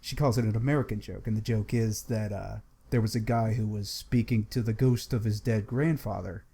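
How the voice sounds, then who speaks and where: Husky-voiced man casually retelling. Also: lowish voice, fairly even intonation, fairly quick, mellow. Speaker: book club panelist.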